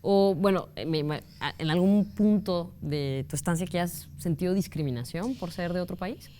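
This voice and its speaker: A woman's voice: lowish voice, normal speed, warm tone, slight background noise. A woman hosting a television talk show, asking questions in an informal, colloquial way. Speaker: TV show host. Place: TV studio.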